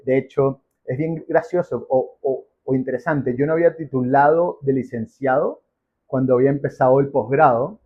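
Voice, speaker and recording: A man with a Venezuelan accent answering as an interview guest, explaining emphatically in a conversational way and repeating phrases. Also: mid-range voice. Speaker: podcast guest, business professional. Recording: muffled, phone-like narrow band.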